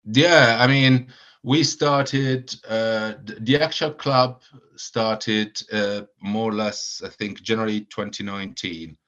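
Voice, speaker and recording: Low-voiced man with many fillers, lo-fi. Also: steady tone, slow, a bit bright. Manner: hesitant, many fillers and repeated words. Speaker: supporters club founder, guest. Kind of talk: casual podcast chat. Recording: dull lo-fi audio.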